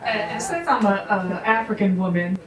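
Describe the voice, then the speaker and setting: A woman's voice: mid-range voice, big pitch swings, normal speed, crowd noise. Middle-aged American woman, professor type, emphatic public speaker, distant mic in a live room. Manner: emphatic, instructive, checks in with listeners. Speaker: speaker, sociology professor giving a presentation. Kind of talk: informal public talk to a community audience. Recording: roomy, distant microphone with faint background noise.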